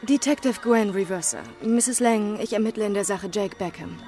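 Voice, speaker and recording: Young female detective played by an actress, crime radio play: medium pitch, courteous, controlled, keeps pressing. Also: normal speed, mellow, faint music behind, close to an everyday voice. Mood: composed, insistent. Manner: polite, controlled, insistent questioning. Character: composed, persistent young female police detective. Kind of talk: radio crime drama acting.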